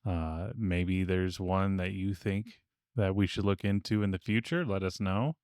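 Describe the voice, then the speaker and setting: A man's voice: low voice, measured pace, darkish tone, no room sound, clean studio sound. A man co-hosting a podcast in a casual, conversational discussion. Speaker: podcast co-host.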